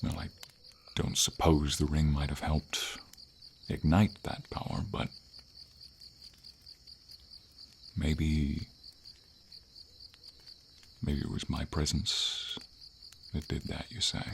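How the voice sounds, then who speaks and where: A man voicing a gentle, wise dragon in a fantasy ASMR roleplay, speaking formally in slow, measured phrases with thoughtful pauses. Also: full character voice. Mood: calm, explanatory. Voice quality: very deep, gravelly. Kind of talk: ASMR fantasy roleplay, scripted character acting. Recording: steady hiss of rain and insects behind. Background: light rain and night insects.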